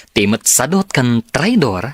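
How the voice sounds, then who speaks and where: Confident young engineer type, played by an actor for Ilocano radio drama; relaxed manner, middle register. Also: male voice, mid-range voice, no echo, full character voice.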